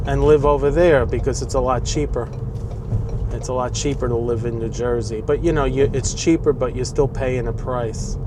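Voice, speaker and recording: A man in his sixties with a New York accent, chatting casually from his car in city traffic, opinionated and rambling. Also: mid-range voice. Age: 60s. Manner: opinionated, rambling, grumbling asides. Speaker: vlogger. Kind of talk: casual chat while driving. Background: faint traffic noise.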